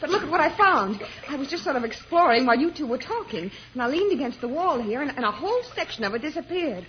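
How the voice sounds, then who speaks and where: An actress playing an eager, curious young space adventurer in a radio serial, excitable and full of wonder. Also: female voice, high voice, fast talker. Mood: excited curiosity. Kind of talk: 1950s radio serial acting. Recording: dull, noisy old radio recording.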